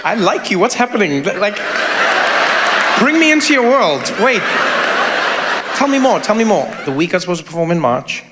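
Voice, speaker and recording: A man with a high, light voice hosts a casual comedic conversation. He tells stories with animation, breaking into laughter and acting out voices, and a big audience is heard behind him. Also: big pitch swings, normal speed, long pauses, over a noisy crowd, heavy background noise. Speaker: podcast host.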